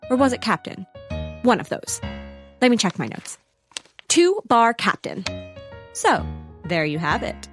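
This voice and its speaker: A woman's voice: on the high side, sing-song, measured pace, pauses often, faint music behind. A woman narrating a comic audio drama as a wry, chatty storyteller given to asides.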